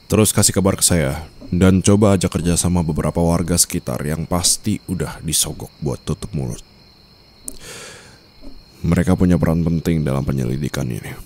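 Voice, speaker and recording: Casting: male actor as composed mafia boss husband for ASMR roleplay, very deep, unhurried, formal, night ambience behind. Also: a little mellow, slight background noise. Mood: cool, commanding. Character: cool, controlling young mafia boss, newly married husband. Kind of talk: Indonesian ASMR roleplay acting.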